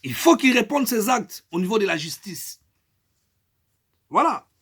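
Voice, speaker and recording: A middle-aged man from Congo with a Congolese French accent, making an emphatic political statement, his loudness rising and falling. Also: high voice, sing-song, measured pace, breathy edge. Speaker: political activist.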